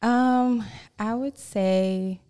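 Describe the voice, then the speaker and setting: A woman's voice: fairly high pitch, fairly even intonation, extremely slow, warm tone, smooth. A young woman from El Salvador with a Salvadoran Spanish accent, talking frankly and chattily as a guest on a podcast. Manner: chatty, frank storytelling with lots of fillers. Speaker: guest, mortgage lender. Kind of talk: casual podcast interview.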